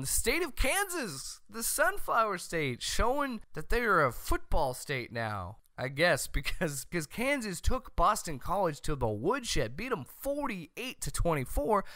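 An American man delivers comedic sports commentary straight to the listener. His voice is bright and forceful, recorded dry and close on a desk microphone. He comes across as loud and opinionated. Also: on the high side, no echo. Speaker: sports show host. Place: small home room, indoors. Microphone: close desk microphone on boom arm.

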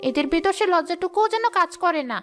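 A woman's voice: very high-pitched, quick pace. A woman playing a poor but proud, outspoken village housemaid with a plaintive, indignant manner in a cartoon. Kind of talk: cartoon dialogue. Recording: music behind the voice.

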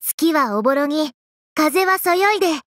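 A woman's voice: high voice, expressive, normal speed, a bit bright, dry recording, clean studio sound. A woman voicing a brash, playful, hyper young woman from an anime game, with cocky, slangy, teasing delivery. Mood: wistful. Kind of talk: Japanese anime game character voice lines.

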